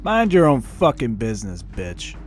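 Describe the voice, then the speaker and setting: Man playing a sneering, animated army bully. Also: mid-range voice, moderate pace, faint music behind. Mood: sneering, menacing. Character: taunting, cowardly army cadet bully. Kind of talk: audio drama acting.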